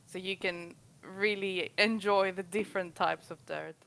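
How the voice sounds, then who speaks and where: A woman with a Greek accent, her first language Greek, working as a game tester and chatting in a halting, thoughtful way during a casual panel. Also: medium pitch, steady tone, creaky, a bit bright, slight background noise. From Greece. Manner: thoughtful, halting, with small laughs. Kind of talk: casual panel chat while playing.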